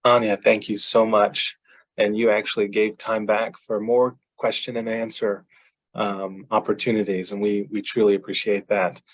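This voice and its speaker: Low-voiced man moderating a webinar. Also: little pitch movement, moderate pace, rough recording. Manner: warm, formal thanks with repeats. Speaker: session moderator.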